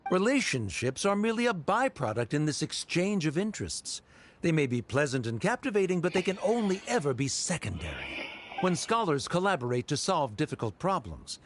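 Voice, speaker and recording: A man voicing a cold, logical male scholar in a game, who argues in a measured, formal, lecturing way. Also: on the high side, slight background noise. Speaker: debater.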